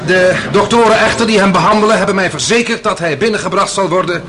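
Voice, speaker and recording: An actor playing a defence lawyer in a radio drama, formal, courteous and measured before the court. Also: male voice, sits high, a crowd in the background, natural voice. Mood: grave, regretful.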